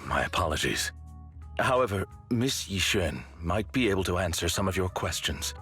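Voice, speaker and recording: A man's voice: sits low, very animated, moderate pace, sharp tone, faint music behind, heavily acted. A man voicing a formal, composed butler figure in a game cutscene, polite and precise. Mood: measured.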